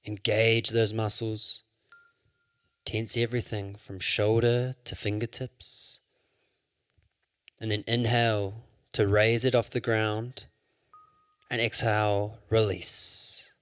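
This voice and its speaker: A man leading a guided yoga nidra meditation as an instructor, with soothing, measured instructions. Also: lowish voice, level tone, a bit bright, clear, resonant tone, no room sound.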